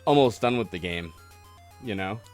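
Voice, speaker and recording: A man's voice: on the low side, lively intonation, moderate pace. Casual man hosting, music playing behind him. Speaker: podcast and stream host. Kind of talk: casual live call-in chat while gaming.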